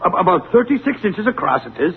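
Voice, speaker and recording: An actor playing a flustered, deferential police officer in a vintage radio drama, stammering and hesitant in a raspy voice. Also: male voice, high-pitched, big pitch swings, fast, exaggerated voice. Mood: earnest insistence. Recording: tinny, band-limited vintage radio recording.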